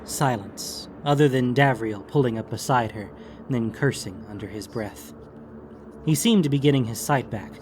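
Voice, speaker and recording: A man giving measured, theatrical narration of a fantasy audiobook. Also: mid-range voice, a little mellow, slight background noise.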